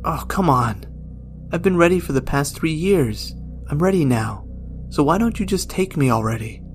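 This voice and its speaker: Brief: man doing a bitter dying old man over 60, raised strained voice, desperate pleading shouts, music under it. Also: mid-range voice, slow, close to an everyday voice. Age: older.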